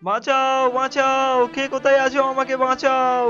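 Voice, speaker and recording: Man voicing a very high-pitched, pleading cartoon fishmonger. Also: monotone, moderate pace, sharp tone, lo-fi sound, faint music behind. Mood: panicked pleading. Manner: exclamatory, pleading. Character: gullible, greedy male fishmonger, frightened then remorseful. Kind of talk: Bengali cartoon story dubbing.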